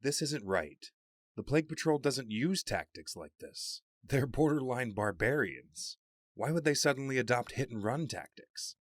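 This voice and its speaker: A man's voice: medium pitch, very animated, normal speed, no room sound. Man playing a dry-sounding, suspicious warrior ally. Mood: suspicious urgency. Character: suspicious, urgent male rider ally. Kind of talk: audio drama acting.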